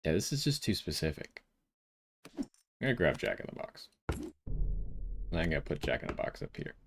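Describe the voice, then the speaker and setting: A man's voice: rumbling low, huge pitch swings, normal speed, gravelly, a bit bright, slight background noise. A man giving casual gameplay commentary as the host of a gaming video, thinking aloud as he decides.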